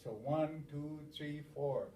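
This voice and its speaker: A man's voice: fairly high pitch, warm tone, reverberant. Stoney-speaking male elder teaching, repeats and restarts, recorded far off in a faintly noisy room. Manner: repeats words, counts aloud, halting restarts. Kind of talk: informal spoken teaching.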